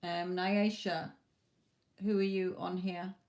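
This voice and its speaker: Hoarse-edged woman reading tarot live. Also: fairly low pitch, flat delivery, slow, a little mellow. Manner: reassuring, calls viewers "my lovely". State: losing her voice, a bit hoarse. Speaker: tarot reader.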